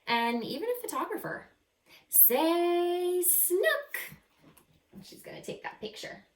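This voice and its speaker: Animated woman reading aloud in an echoey room. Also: a little high, brisk. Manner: animated, acts out characters, stretches key words. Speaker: second-grade teacher reading aloud. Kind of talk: expressive picture-book read-aloud with asides to children. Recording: roomy echo, some distance from mic.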